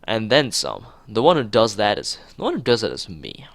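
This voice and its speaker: A man's voice: fairly low pitch, huge pitch swings, moderate pace, vocal fry, no room sound, exaggerated voice. A man voicing a bossy, accusing schoolgirl from a visual novel in an emphatic, scolding manner. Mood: boastful. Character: bossy, accusing schoolgirl speaking for student council.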